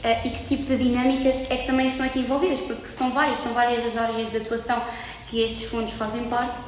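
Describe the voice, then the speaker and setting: A woman's voice: on the high side, flat delivery, fast talker, slight background noise. A young woman hosting a student podcast interview, hesitant and often searching for her words. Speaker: podcast host, student association member. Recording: echoey room with long reverb.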